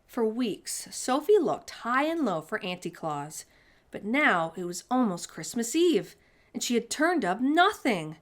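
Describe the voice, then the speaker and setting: A woman reading a children's picture book aloud in an expressive storytime narration style. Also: on the high side, measured pace.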